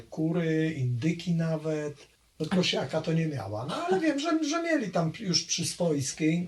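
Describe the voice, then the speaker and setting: A man's voice: fairly high pitch, a little mellow. A man, recalling his childhood in an oral history interview, hesitating often with fillers and restarts. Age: 60s.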